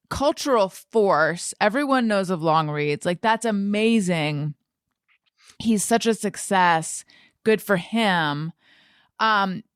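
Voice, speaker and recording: A woman hosting an informal interview chat at an unhurried, slow pace. Her voice is very clean and smooth, and she thinks aloud, breaking off and rephrasing her questions. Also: mid-range voice, dry recording, clean studio sound. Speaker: podcast host, interviewer. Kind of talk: casual personal interview chat.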